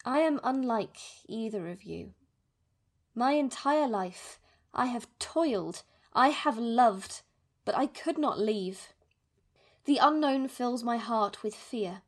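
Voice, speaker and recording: A woman playing an anxious, wounded, fiercely loving middle-aged mother, reading her letters in a formal, measured way in a fantasy audio drama. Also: fairly high pitch.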